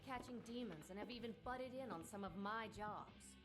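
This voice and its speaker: An actress playing a businesslike, wry demon hunter in a video game, speaking in a pointed way. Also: female voice, mid-range voice, moderate pace, close to an everyday voice. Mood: serious. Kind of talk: video game cutscene acting. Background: loud music.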